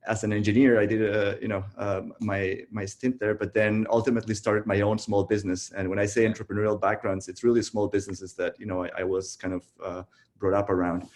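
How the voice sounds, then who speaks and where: A man in his thirties from Costa Rica, telling his story in a relaxed way with many fillers on a video call. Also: lowish voice, fairly quick. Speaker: guest, tech founder. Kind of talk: casual virtual interview.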